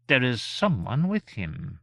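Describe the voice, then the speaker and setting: A man voicing a vain, capricious fairy gentleman in a storybook, theatrical and effusive, with gushing menace and drawn-out words. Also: medium pitch, wildly swooping pitch, creaky, dry recording, exaggerated voice. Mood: displeased.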